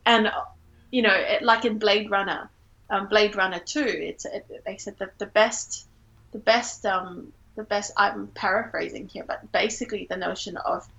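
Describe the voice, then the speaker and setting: A woman from Singapore with a medium-pitched voice that often drops into creak. She speaks as a poet being interviewed, thoughtful and reflective, feeling her way toward the right words. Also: steady tone, moderate pace, fairly bright tone, highs cut off. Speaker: poet and teacher, interview guest. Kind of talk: reflective literary interview talk.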